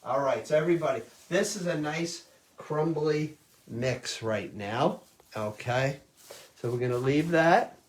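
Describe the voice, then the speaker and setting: A middle-aged man, hosting a live virtual cooking class and chatting loudly and warmly to his viewers. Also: a little high, a little slow, reverberant, far from the mic. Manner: loud, chatty, talks straight to viewers.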